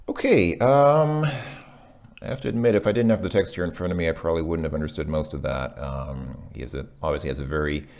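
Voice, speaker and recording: A man working through a language lesson out loud, hesitant, with many ums and self-corrections. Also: a bass voice, brisk, vocal fry. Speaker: language learner reviewing a lesson. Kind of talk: casual thinking-aloud commentary.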